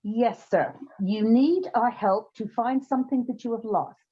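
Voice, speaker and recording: A wry, businesslike agency boss, played by a woman in an old-time radio comedy, with a husky, mellow voice that moves briskly up and down. The sound is slightly muffled. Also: a little high.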